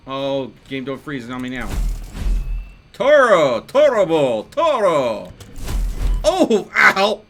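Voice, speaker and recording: A man's voice: fairly high pitch, wildly swooping pitch, slow, long pauses. A man giving casual live gameplay commentary, thinking aloud with playful exclamations. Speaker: video game streamer. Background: video game music.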